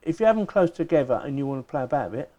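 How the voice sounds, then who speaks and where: A man's voice: mid-range voice, big pitch swings, racing, very noisy. A middle-aged man with an English accent, giving a casual, chatty hands-on product review in plain, informal words.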